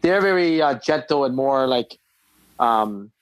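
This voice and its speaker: A man from Laos, guest on a casual podcast chat, talking in a halting way with many restarts. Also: medium pitch, animated, poor audio.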